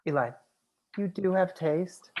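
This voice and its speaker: A woman's voice: on the low side, measured pace, muffled recording. A woman playing a sassy, blunt, judgmental best friend at a comedy screenplay read, with a dry scolding manner.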